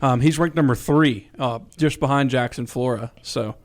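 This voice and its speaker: A man's voice: medium pitch, moderate pace. A man co-hosting a sports radio show, trading casual banter with his colleagues.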